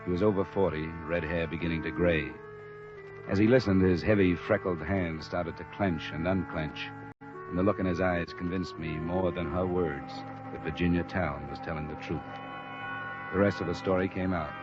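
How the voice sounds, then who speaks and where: A man's voice: sits low, steady tone, normal speed, lightly acted. A man acting a tough but fair insurance investigator and narrator in a 1950s radio detective drama, terse and matter-of-fact with an American accent. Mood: reflective narration. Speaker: lead actor and narrator. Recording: dull restored old radio recording, music.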